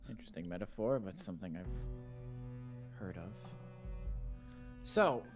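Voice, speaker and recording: A man's voice: deep voice, big pitch swings, takes it slowly. A young man in a roleplay, dry and skeptical, humming thoughtfully between lines. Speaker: roleplay channel host. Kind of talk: in-character roleplay chat. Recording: clear background music.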